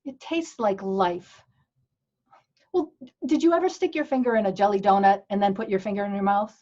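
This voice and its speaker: A woman's voice: medium pitch, moderate pace, warm tone, close to an everyday voice. A woman playing an earthy, zealous religious sister in a comic table read, telling things with rapturous emphasis. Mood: rapturous, earnest. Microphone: video call. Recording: dull, lo-fi online call audio.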